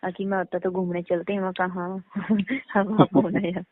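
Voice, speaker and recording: A woman's voice: fairly low pitch, flat delivery, normal speed. A young woman chats casually on a phone call, often checking for agreement. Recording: narrowband phone line, faint noise.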